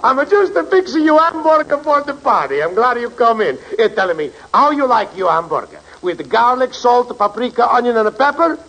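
Big hearted italian grocer on old radio comedy, raspy, really high, lively, thick accent broken english. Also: male voice, fairly quick, few pauses, heavily acted, low-quality recording. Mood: cheerful, eager. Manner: exclamatory, broken English, effusive. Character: excitable, big-hearted Italian immigrant food seller. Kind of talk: 1940s-50s radio comedy acting.

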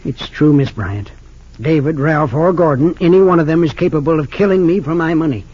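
An actor playing a wealthy, suspicious father of sixty-eight in an old radio drama, earnest and slightly halting. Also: on the high side, breathy edge. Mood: bitter, suspicious. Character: wealthy, suspicious 68-year-old father, bitter about his sons. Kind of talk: 1940s-50s radio drama acting. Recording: dull lo-fi old radio recording.

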